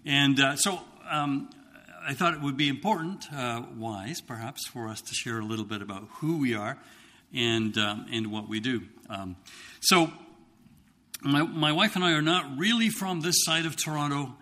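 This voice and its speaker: A man's voice: mid-range voice, pushed and bright. Man, 50s, light Scottish accent, missionary addressing a congregation, hesitant delivery in a reverberant hall. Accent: slight Scottish. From Scotland. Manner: halting, many ums and restarts. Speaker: missionary speaker. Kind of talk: church talk to congregation.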